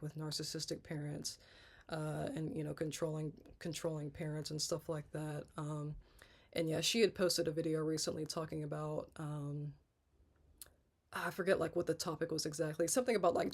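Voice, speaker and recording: A woman in her thirties speaks in a congested, gravelly voice with plenty of vocal fry. Her delivery is flat and even, halting, with frequent restarts as she rambles candidly through a personal vlog. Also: sits low. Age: 30s. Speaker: vlogger.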